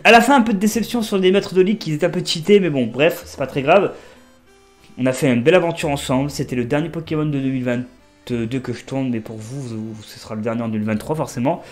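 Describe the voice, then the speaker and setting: A man with a blocked nose doing casual, excitable let's play commentary as a gaming creator. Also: quick pace, soft background music. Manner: excitable, pitch jumping up on exclamations.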